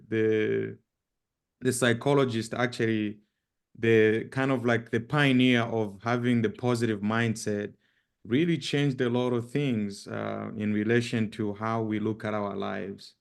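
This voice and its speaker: Male guest speaker, Zambian accent. Deep-ish, creaky voice, halting informal presentation style. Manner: halting, with repeated words and frequent pauses. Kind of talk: informal presentation with personal introduction.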